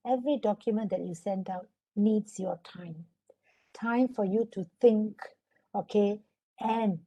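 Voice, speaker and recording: Malaysian woman trainer, mellow voice. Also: medium pitch, rough recording. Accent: Malaysian English. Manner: instructive, often asks for agreement. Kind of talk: online webinar lecture.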